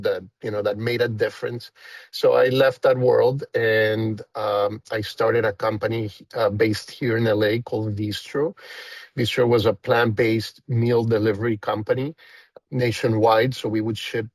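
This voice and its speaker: A man's voice: lowish voice, creaky, dry recording. A man in his fifties from Costa Rica with a thick Costa Rican Spanish accent, a guest chatting casually and rambling with many fillers. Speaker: guest, company founder. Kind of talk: casual interview chat.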